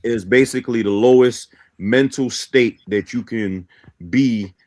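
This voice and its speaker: A man's voice: mid-range voice, a little slow, a little mellow. A man from Guyana who grew up in Brooklyn, explaining his ideas in a didactic way as a talk show guest. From born in Guyana, grew up in Brooklyn, New York. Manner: didactic, often checks in with "right?". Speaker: guest, teacher of a belief system.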